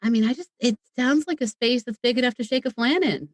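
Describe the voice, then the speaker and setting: A woman's voice: on the high side, quick pace, no echo, clean studio sound. A woman chatting casually and enthusiastically as a podcast guest who makes audio drama. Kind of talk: casual chat.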